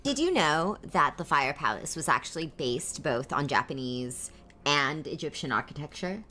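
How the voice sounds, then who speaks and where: A woman's voice: low voice, lively intonation, normal speed, bright, forceful tone, a little hiss or hum. A woman playing a haughty, teasing young princess who needles and mocks in improvised comedic banter. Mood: smug, mocking. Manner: condescending, mocking.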